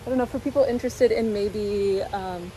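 A woman's voice: medium pitch, normal speed, warm tone. Young woman vlogging over outdoor noise. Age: 20s. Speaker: host and interviewer. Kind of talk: casual vlog interview. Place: outdoors and in greenhouses on a farm. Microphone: phone at arm's length. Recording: noisy outdoor phone recording.

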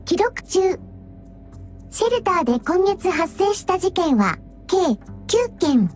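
Childlike anime game robot, very high pitch, slow, measured, formal announcements, dutiful. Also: female voice, big pitch swings, faint music behind, full character voice. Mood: matter-of-fact. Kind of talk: Japanese anime game voice acting.

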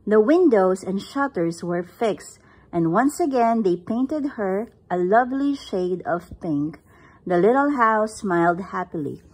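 A woman reads a picture book aloud in a gentle, breathy voice at a very slow, careful pace. Her pitch sits in a middle range, and she sounds like a parent sharing a story at home. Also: poor audio. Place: indoors, at home.

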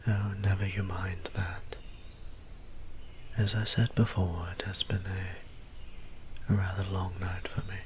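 Soft, low-voiced gentle vampire priest, acted by a man. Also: takes it slowly, pauses often. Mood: sheepish, weary. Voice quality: soft, breathy, half-whispered. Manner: polite, formal, old-fashioned wording. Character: gentle, doting young male vampire priest, apologetic and courtly. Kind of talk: soft-spoken ASMR roleplay. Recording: steady background ambience noise.

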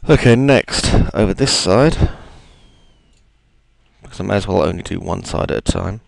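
A man with a gravelly, deep voice, chatting his way through a software tutorial with plenty of ums and asides. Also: normal speed, dry recording. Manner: chatty, self-deprecating, thinks aloud with ums. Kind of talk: screen-recorded software tutorial.

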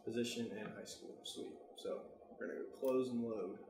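An American man giving a casual software tutorial, talking informally and thinking aloud as he works. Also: mid-range voice, steady tone, normal speed, reverberant, noisy background. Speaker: tutorial presenter.

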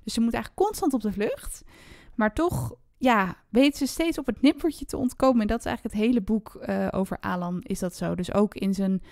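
A woman's voice: mid-range voice, a little fast, gravelly, a little mellow, no room sound, clean studio sound. A woman chatting casually about a book as a podcast co-host.

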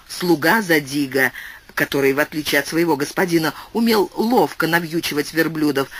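A man's voice: on the high side, a bit bright, slightly rough. A man narrating a classic literary audiobook, telling the story expressively. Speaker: audiobook reader.

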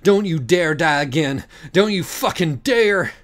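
A frantic, foul-mouthed storybook girl acted out by a man, shouting in distress. The voice is pushed well above a low natural register. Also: expressive, unhurried. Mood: distraught, furious.

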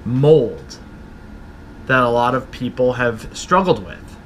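A man in his thirties hosting a solo podcast from a small room at home, talking slowly and reflectively in an encouraging way into a desk mic. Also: mid-range voice, a bit bright, a little hiss or hum. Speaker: podcast host. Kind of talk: motivational solo talk. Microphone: studio mic with pop filter, camera at desk.

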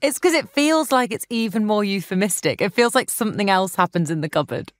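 Playful woman, lively voice. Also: medium pitch, a little fast, no echo, studio quality. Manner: playful, laughs often, teasing. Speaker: podcast co-host. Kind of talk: casual chat, joking commentary.

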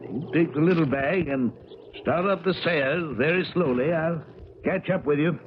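An actor playing an eccentric, elderly hermit uncle in a 1970s radio drama, genial but secretive, with a folksy, halting manner. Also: male voice, on the high side, natural voice. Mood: coaxing. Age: older. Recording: muffled, crackling 1970s radio recording.

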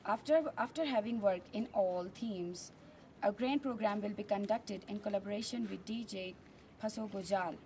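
A woman's voice: mid-range voice, moderate pace, a little mellow, a little hiss or hum, low-quality recording. A teenage girl presenting her school project outdoors, in an earnest, memorized delivery. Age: teens. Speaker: student presenter. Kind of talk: recited presentation. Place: outdoors on a mountain terrace.

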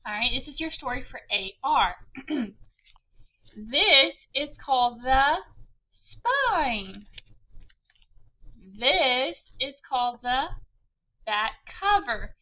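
High, bright, slow-paced woman teacher. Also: expressive, highs cut off. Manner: deliberate, clearly spelled-out instruction for young children. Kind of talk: children's read-aloud lesson.

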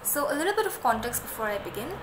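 A young woman who grew up speaking Nepali talks earnestly into a phone camera in a small room. Her voice is high. The room adds a clear echo and a faint hiss. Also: very animated, rapid-fire. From Darjeeling, India. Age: young adult. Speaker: student presenter. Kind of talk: casual informative talk.

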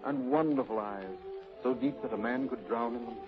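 An actor playing an excitable, courtly businessman in a 1930s radio drama, theatrical and volatile. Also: medium pitch, moderate pace, close to an everyday voice. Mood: tender, wooing. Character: suave, excitable businessman, exasperated then tenderly romantic. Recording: muffled vintage radio recording, music behind.

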